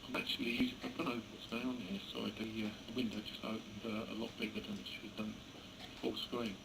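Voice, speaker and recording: Hesitant man with a creaky voice. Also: lowish voice, flat delivery, noisy background. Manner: hesitant, halting, chuckling. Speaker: amateur radio operator. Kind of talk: casual radio chat. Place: indoors beside a computer.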